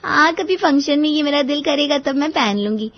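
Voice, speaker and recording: A woman playing an outspoken, cheerful and cheeky young daughter-in-law in a Hindi animated moral story, chirpy and persuasive. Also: sits high, fairly quick. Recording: dull, lo-fi audio.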